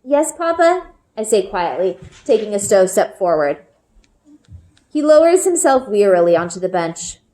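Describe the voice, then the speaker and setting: A woman reading a children's book aloud as an expressive storyteller, narrating from the text. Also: mid-range voice, moderate pace. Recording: roomy indoor echo.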